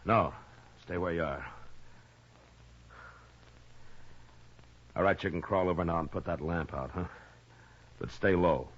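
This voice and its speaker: An American man playing a steady, tough frontier marshal in a 1950s radio western, terse, commanding and understated. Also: low voice, normal speed. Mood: calm, wary. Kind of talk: 1950s radio western acting, with first-person narration. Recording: dull vintage radio recording with music.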